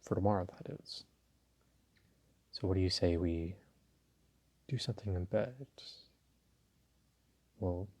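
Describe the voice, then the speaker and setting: Man acting a deep, husky, flirtatious mafia boss. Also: steady tone. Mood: seductive, amused. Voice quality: husky, low. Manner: slow, teasing, courteous, softly chuckling. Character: suave dominant flirtatious male mafia boss. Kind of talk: intimate audio roleplay addressed to the listener.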